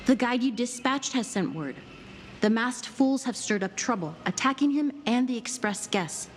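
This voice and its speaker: A woman's voice: fairly high pitch, animated, normal speed, close to an everyday voice. An actress playing a dutiful, deferential aide in a video game, reporting formally to her superior. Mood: urgent, respectful. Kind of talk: scripted video game dialogue. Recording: long reverb, faint noise.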